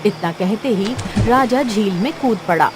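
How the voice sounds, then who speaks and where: A woman's voice: medium pitch, lively intonation, measured pace, background noise. A woman narrating a scripted children's cartoon story as the storyteller.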